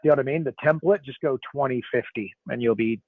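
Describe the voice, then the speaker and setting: A man's voice: medium pitch, fast talker, husky, dry recording. A man hosting a live question-and-answer call, with a nasal voice and a loose, chummy, rambling way of talking full of fillers. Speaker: host. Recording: phone-like narrow line.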